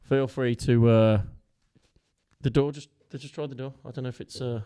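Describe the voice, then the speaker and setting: Joking, swearing podcast co-host. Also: male voice, medium pitch, fairly even intonation, moderate pace, dark tone, clean tone. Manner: joking, swears casually, trails off mid-thought. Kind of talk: casual chat.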